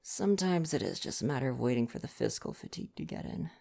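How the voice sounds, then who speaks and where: A man reads softly in a bedtime ASMR style. His delivery is gentle and intimate, with lively, expressive intonation and some gravelly fry in the voice. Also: mid-range voice, fast. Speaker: ASMR roleplay performer reading aloud.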